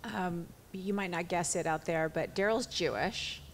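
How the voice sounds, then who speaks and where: A woman's voice: lowish voice, unhurried, slight background noise. A woman hosting an interview, asking curious, probing follow-up questions. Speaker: podcast host.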